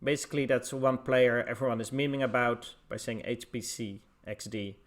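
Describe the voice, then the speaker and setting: A man's voice: mid-range voice, steady tone, moderate pace. A man with a Dutch accent, from the Netherlands, casting a live esports match in a loose, chatty way with many filler words. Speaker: esports caster. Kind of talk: casual live stream commentary.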